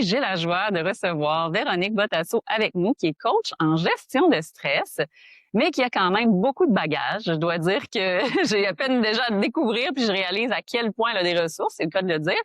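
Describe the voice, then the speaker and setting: A woman's voice: medium pitch, animated, moderate pace. A woman who hosts a podcast. She reads a scripted intro and then welcomes her guest, backing the talk with frequent small agreements. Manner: warm welcoming interviewer, frequent backchannels. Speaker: podcast host, hypnotherapist. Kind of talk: scripted intro, then casual interview hosting.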